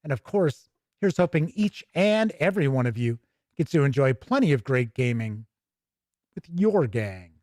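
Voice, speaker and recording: A man's voice: mid-range voice, very animated, moderate pace, darkish tone, dry recording. A man hosting a tabletop gaming livestream in a folksy, chatty, casual way. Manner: folksy, chatty, with jokey turns of phrase. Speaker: YouTube host of a tabletop gaming show. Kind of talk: casual livestream sign-off chat.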